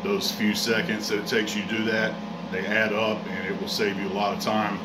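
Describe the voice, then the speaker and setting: A man's voice: on the low side, normal speed. Plainspoken man in a noisy garage. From Texas. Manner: relaxed, plainspoken, lots of "uh" fillers. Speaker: welding hobbyist vlogger. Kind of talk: casual workshop narration. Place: home garage workshop. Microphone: camera mic a few feet away. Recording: echoey garage with loud background noise.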